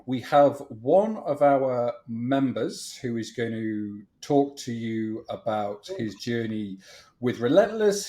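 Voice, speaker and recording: Male podcast host, casual interview style, jokey and self-deprecating, mid-pitched voice. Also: mellow.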